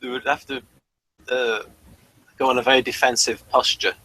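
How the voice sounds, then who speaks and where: A man's voice: mid-range voice, flat delivery, normal speed, pushed and bright, low-quality recording. A man co-hosting a casual review chat. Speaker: podcast co-host reviewing TV episodes.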